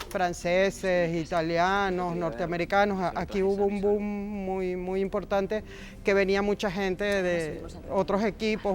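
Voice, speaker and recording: A woman's voice: mid-range voice, smooth. A middle-aged woman from Argentina who was raised in Venezuela, a film script supervisor speaking passionately in an interview. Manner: warm, passionate, emphatic repetitions. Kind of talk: interview conversation.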